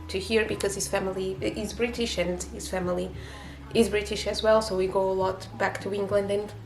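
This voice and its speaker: A woman's voice: medium pitch, little pitch movement, a little fast, gravelly, roomy sound, soft background music, rough recording. A woman from Portugal with a Portuguese accent, English not her first language, chatting casually in a home vlog with many fillers and restarts. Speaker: booktuber.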